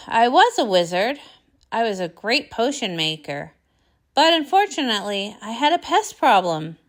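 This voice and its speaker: A woman's voice: medium pitch, voice pushed down. A woman voicing a grumpy, self-pitying old male wizard pony in a storybook, grumbling in defeat.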